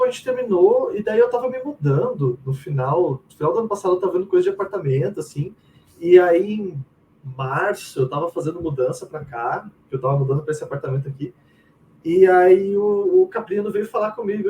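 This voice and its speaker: A man's voice: high voice, sing-song, brisk, dark tone. A man with a Brazilian accent chatting casually and slangily as a guest, telling anecdotes and quoting his own thoughts. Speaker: game writer, interviewee. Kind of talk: casual chat, animated anecdotes. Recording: distant mic with faint room noise.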